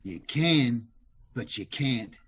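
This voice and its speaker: An actor playing a surly, shady racketeer in an old-time radio mystery, curt and slangy with a husky voice. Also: male voice, medium pitch, expressive, slow, a bit bright, full character voice.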